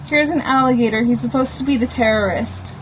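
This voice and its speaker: A woman's voice: fairly high pitch, brisk, slight background noise, poor audio. A woman reading her own homemade comic aloud in a plain, unpolished way, with informal explanatory asides. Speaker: comic book author reading own work.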